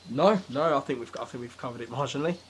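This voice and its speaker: A man's voice: mid-range voice, very fast. A man speaking as a podcast guest in casual, opinionated discussion, with a dry, sceptical manner. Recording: faint background noise.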